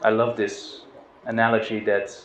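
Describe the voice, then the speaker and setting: A middle-aged man from the Netherlands giving an informal spiritual talk, relaxed and hesitant, with restarts and soft laughs. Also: on the low side, a little slow. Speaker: spiritual teacher. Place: outdoors on a river boat. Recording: roomy, dull lo-fi sound with faint noise.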